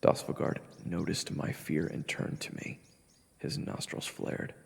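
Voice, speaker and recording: A man's voice: unhurried, in a large hall. A man narrating a first-person fantasy audio drama, telling the story as one of its characters.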